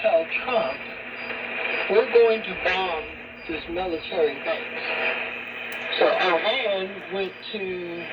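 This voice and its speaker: A woman's voice: on the low side, slow pace. Through heavy shortwave noise and a dull, narrow sound, a woman talks in a conversational radio style. She is skeptical and keeps posing questions as she muses on politics.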